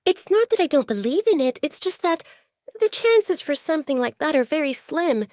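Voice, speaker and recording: A woman's voice: sits high, sing-song, normal speed, a little mellow, no room sound, clean studio sound, voice pushed up. A woman voicing a shy, anxious young newcomer in an audio drama, hesitant, hasty and apologetic. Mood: flustered. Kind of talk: audio drama character acting.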